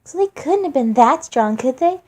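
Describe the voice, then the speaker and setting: A woman's voice: high voice, expressive, moderate pace, a little mellow, voice pushed up. A woman voicing a cruel young male flower monster, falsely sweet and mocking, in a storybook reading. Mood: mock sympathy. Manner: sing-song, falsely innocent, taunting.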